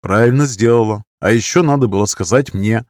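A man's voice: fairly low pitch, fairly quick, a little mellow, dry recording, natural voice. A man voicing a wry, guarded woman in an audiobook, who speaks in terse, dry, probing lines. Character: wry, guarded woman narrator confronting her friend. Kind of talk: audiobook character dialogue.

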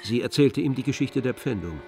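Mid-pitched male literary narrator. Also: animated, a little fast, vocal fry, a little mellow. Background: music.

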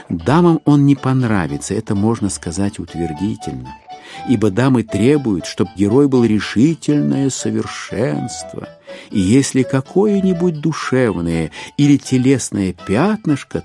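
A man narrating a literary audio drama in an expressive, theatrical way with deliberate dramatic phrasing. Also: fairly low pitch.

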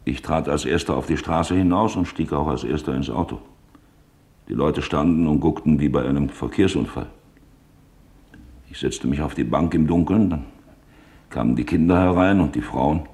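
An actor playing a somber wartime survivor, giving terse, measured testimony in a radio play. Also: male voice, very deep voice, darkish tone. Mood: grave. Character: somber male survivor recalling his own wartime arrest.

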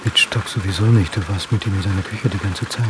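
An actor playing an anxious male academic who talks to himself in halting, clipped phrases in a radio play. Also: low-pitched, steady tone, lightly acted. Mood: tense, agitated. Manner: halting, clipped self-talk. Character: anxious male history lecturer talking to himself. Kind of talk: radio play inner monologue. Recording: noisy outdoor scene with rain.

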